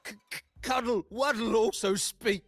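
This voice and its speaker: A man's voice: very high-pitched, fairly even intonation, measured pace, breathy edge, no room sound, faint music behind, exaggerated voice. An actor playing a simple-minded little creature henchman in a video game, stuttering in broken speech. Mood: proud.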